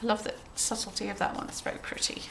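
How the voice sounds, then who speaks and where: A woman with a cold, sounding a bit croaky, chatting gently through a show-and-tell of her handmade journal with frequent ums and throat clearing. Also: fast talker, background noise. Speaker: craft journal maker showing her work.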